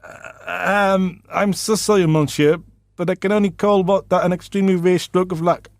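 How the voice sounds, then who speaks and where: A man's voice: sits high, fairly even intonation, normal speed, vocal fry, no room sound, studio quality, pitched above the performer's own voice. A man voicing an apologetic, evasive French baker with a French accent and a polite, hesitant manner. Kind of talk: comedic radio drama acting.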